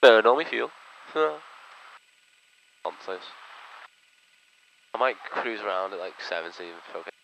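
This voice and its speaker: A man's voice: fairly low pitch, unhurried, creaky, long pauses, a bit bright. Young male student pilot, casual muttered cockpit commentary, jokey, on a noisy aviation headset. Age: young adult. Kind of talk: casual in-cockpit commentary and checklist call-outs. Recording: noisy small aircraft cockpit, headset audio.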